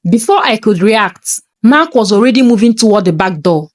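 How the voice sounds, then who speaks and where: A woman's voice: mid-range voice, animated, normal speed, no room sound, clean studio sound. A woman reading a scripted first-person story in a dramatic, suspenseful manner.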